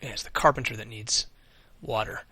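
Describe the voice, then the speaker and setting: A man with a bright, forceful voice, losing his voice and talking over a video game. He hosts a let's play, muttering his plans aloud and swearing casually when things go wrong. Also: mid-range voice, steady tone, brisk. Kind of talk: casual gameplay commentary.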